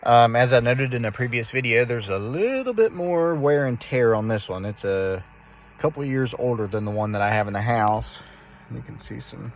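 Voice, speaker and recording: A man casually explaining and demonstrating amateur radio to a phone camera, speaking in a hesitant, halting way. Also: lowish voice, fairly bright tone. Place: inside a car for the later part. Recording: clearly noisy phone video recording.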